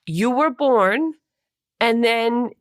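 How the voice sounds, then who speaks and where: A woman speaking as a podcast host and interviewer, in a clearly phrased presenting style on a close microphone. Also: a little high, expressive, drawn-out words, no room sound. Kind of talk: scripted podcast narration and interviewing.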